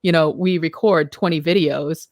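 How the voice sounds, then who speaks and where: A woman's voice: deep voice, fairly even intonation, moderate pace, darkish tone, no room sound, clean studio sound. A woman co-hosting a podcast in casual conversation, practical and questioning, with a lot of "you know.". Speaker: podcast co-host.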